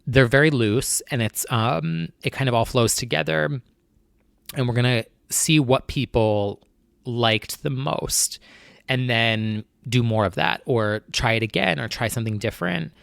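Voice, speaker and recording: A man's voice: mid-range voice, measured pace, creaky, a bit bright, no room sound, studio quality. A young man hosting his own podcast, talking in a casual, anxious, rambling way full of fillers and restarts. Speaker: podcast host. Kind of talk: casual solo chat.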